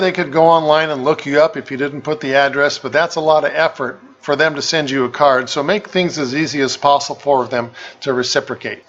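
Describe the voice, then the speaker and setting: A man's voice: fairly high pitch, a bit bright. A man giving an informal tutorial as a channel host, explaining things in a methodical, plain-spoken way. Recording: slightly dull, lo-fi sound.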